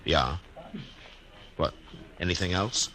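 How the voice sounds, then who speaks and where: A man playing a steady, laconic frontier marshal in an old radio western, slow and hesitant with many pauses. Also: sits low, slight background noise, exaggerated voice, poor audio. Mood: probing. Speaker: narrator and lead character. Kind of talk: 1950s radio western acting.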